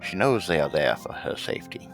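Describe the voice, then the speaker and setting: A man playing a mild, reasonable craftsman in an audio drama, gentle and advising in manner. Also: sits low, sing-song, a little fast, faint music behind. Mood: reassuring. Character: mild, reasonable male craftsman, helpful and curious. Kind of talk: amateur audio drama acting.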